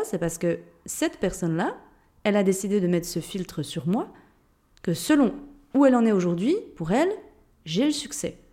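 A woman's voice: mid-range voice, warm tone. Swiss woman with a Jura accent. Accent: Swiss French, Jura. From Switzerland. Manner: chatty, addresses listener directly, informal. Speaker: podcast host and mindset coach. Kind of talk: solo podcast monologue.

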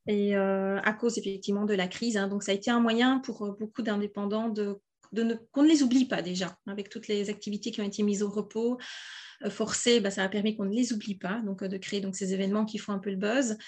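A woman's voice: medium pitch, fairly even intonation, quick pace, darkish tone. A woman from southern Belgium with a Belgian French accent, a podcast guest talking casually, with many fillers and restarts. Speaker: podcast guest, event organizer. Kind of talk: casual interview talk.

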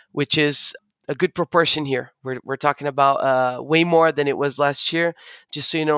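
A man's voice: a little high, a bit bright, clear, resonant tone, no echo. A young man from Brazil who also grew up in the United States, explaining his sales work as an interview guest. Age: young adult.

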